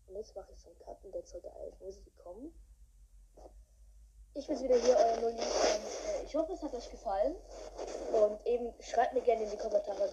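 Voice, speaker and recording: A woman's voice: medium pitch, dark tone, a little hiss or hum. A woman hosting a podcast in a casual, rambling way with many fillers. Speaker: podcast host. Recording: dull lo-fi recording.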